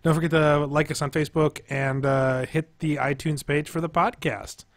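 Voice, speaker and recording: A young man hosting a casual video-call podcast, laughing often and pausing with fillers, talking through a headset from a small room. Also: a little high, no echo. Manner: relaxed, laughing, hesitant with fillers. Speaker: podcast host. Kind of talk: casual chat reviewing a TV episode.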